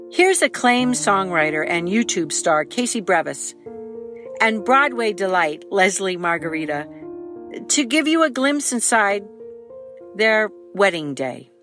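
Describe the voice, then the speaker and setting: A woman reading a scripted introduction to an audio drama as its writer. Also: medium pitch.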